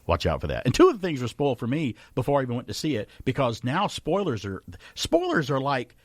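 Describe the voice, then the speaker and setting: A man co-hosting a radio show, chatting casually and jokingly, with a low gravelly voice. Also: no room sound. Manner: animated, jokey, fast talker with impressions of others. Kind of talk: radio chat show banter. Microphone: studio mic.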